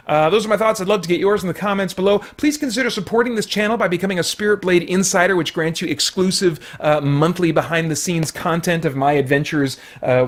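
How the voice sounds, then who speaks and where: Higher-pitched man reviewing casually. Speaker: movie reviewer and channel host. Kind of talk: casual video movie review.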